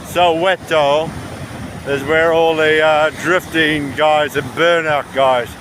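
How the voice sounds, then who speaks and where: A man's voice: on the high side, steady tone, slow, fairly bright tone. A man giving casual, rambling commentary as a travel vlogger, walking outdoors along a beach. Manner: rambling, chatty. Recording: windy outdoor recording with surf noise. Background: wind, waves, outdoor ambience.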